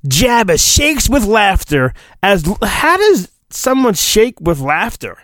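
Animated, slow-paced American man, wisecracking storybook reader. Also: sits high, studio quality. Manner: informal, wisecracking, self-interrupting. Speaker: podcast host. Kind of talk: dramatic storybook reading with joking asides. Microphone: phone. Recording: homemade phone recording, roomy echo, faint music.

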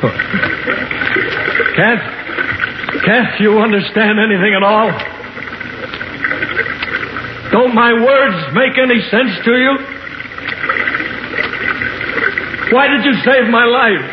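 An American actor playing a frightened, wounded man trapped in the dark, narrating a 1950s radio horror drama in a grave, dramatic delivery. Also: very high-pitched, very noisy. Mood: desperate, pleading. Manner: dramatic, grave, measured delivery rising to anguish. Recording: dull vintage 1950s radio recording. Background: dramatic music.

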